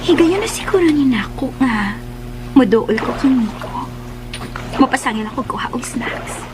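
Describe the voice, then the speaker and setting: A woman's voice: high-pitched, animated, moderate pace, low-quality recording. A woman playing a scheming, flirtatious woman in a radio drama, coy, theatrical and self-satisfied. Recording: radio drama with loud music underneath. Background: loud music.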